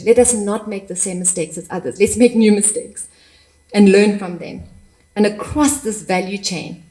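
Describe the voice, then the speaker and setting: Brief: woman speaker, conference stage, passionate, mid pitch, restarts phrases. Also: warm tone, rough recording. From Africa. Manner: impassioned, repeats words when searching. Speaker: panel speaker. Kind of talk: conference talk.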